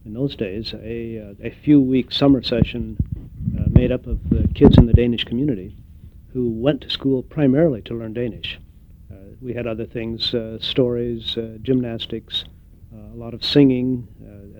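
An older man giving an oral history interview, reflective and hesitant, pausing often with fillers. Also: mid-range voice, fairly even intonation. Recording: tinny phone-like recording.

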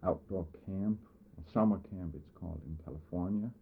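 A deep-voiced man with a Danish accent recalls his background in an oral history interview. He pauses often and fills gaps with uh. The sound is thin and boxy, like an old phone-band tape. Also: vocal fry, narrow, tinny audio, a little hiss or hum. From Denmark, southern Jutland. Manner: hesitant, pausing often with uh. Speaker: interviewee, schoolteacher.

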